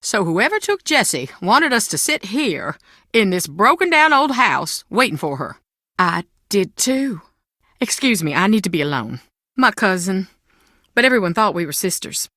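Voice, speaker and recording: Bright-voiced, proud Southern mother. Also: mid-range voice, very animated, normal speed, dry recording, studio quality. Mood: wistful, grieving. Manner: blunt, folksy, dramatic. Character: proud, weary, sharp-tongued Southern mother. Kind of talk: video game voice acting.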